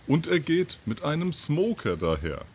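A man in his fifties giving an informal, halting monologue to camera, thinking aloud with pauses and repeats. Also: on the high side, very animated, vocal fry. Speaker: video channel host. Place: outdoors on a terrace or balcony by trees. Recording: outdoor ambient noise.

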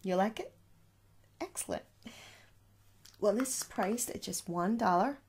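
A woman in her thirties doing a soft-spoken ASMR shop roleplay, gently reassuring and repeating words for effect. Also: medium pitch, lively intonation, unhurried, slightly rough. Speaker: ASMR performer playing a shop assistant. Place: small room indoors.

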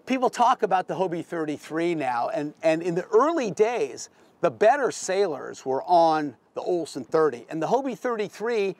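A middle-aged American man giving a casual, unscripted boat tour in a plain-spoken, folksy, explanatory way, like a veteran sailor. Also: on the high side.

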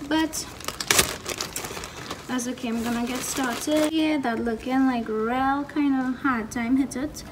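A woman's voice: high voice, fairly even intonation, takes it slowly. A woman in her twenties with a Trinidadian accent, casually narrating a home cooking vlog as she works. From Trinidad and Tobago. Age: 20s. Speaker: vlogger. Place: home kitchen, indoors. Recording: noisy kitchen, distant microphone.

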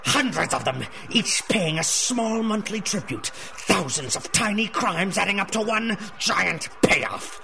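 A man playing a cackling, cowardly ratlike crime lord with a shrill, gravelly voice in a radio drama. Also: lively intonation, measured pace, few pauses, a crowd in the background, heavily acted. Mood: gloating, defiant. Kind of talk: 1930s-style radio drama acting.

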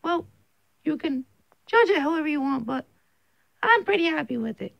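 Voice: high-pitched, sing-song, normal speed, creaky, a bit bright, no room sound. An amateur video creator chatting casually and apologetically to a camera close up in a small bedroom, rambling and self-conscious. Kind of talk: casual chat to camera introducing a homemade puppet show. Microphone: camera on the bed, close up.

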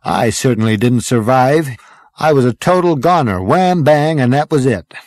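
A brash, vain space rogue from a comic sci-fi story, performed by a man. He talks in swaggering hip slang, with lively swings in pitch and a close, dry sound. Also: normal speed, no echo, lightly acted. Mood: dazed, excitable. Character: brash, vain, cocksure male space adventurer.

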